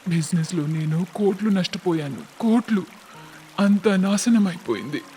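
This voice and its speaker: Man playing intense, higher-voiced young businessman. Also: moderate pace, warm tone, close to an everyday voice. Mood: angry, despairing. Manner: emphatic, dramatic, repeats words. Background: background music.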